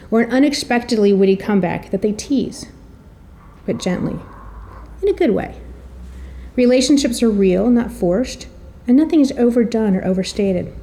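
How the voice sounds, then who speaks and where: A woman's voice: fairly high pitch, warm tone. A middle-aged woman presenting a prepared introduction, telling stories in an articulate, wry and slightly theatrical way. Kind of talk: prepared introductory speech with storytelling.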